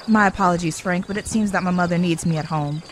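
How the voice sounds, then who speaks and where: A woman's voice: lowish voice, normal speed, music in the background, natural voice, low-quality recording. A woman playing a polite, prim young lady of the Old West, speaking formal period dialogue in an audio drama. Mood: courteous.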